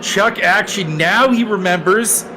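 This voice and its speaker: A man's voice: high voice, a little slow, background noise. A man commentating casually on a sim racing stream, talking in an unscripted, rambling and joking way with a co-host. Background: music behind the voice.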